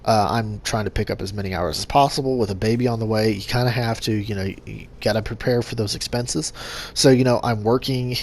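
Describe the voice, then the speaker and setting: A young man hosting a gaming channel, talking casually to his subscribers in a monotone with lots of fillers and restarts. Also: lowish voice, a little fast. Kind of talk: casual vlog update to subscribers.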